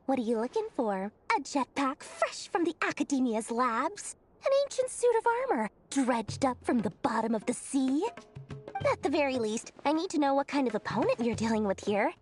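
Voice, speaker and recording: An actress playing a greedy, self-important traveling merchant in a video game, with pompous sales patter and dramatic delivery. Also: female voice, sits high, very animated, moderate pace, a little mellow, soft background music. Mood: intrigued, shrewd. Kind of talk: animated video game cutscene voice acting.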